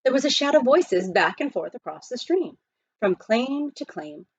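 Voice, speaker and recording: Woman storyteller, very animated intonation, slightly husky, children's book narration, dry but dull recording. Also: fairly high pitch, moderate pace, no echo. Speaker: audiobook reader.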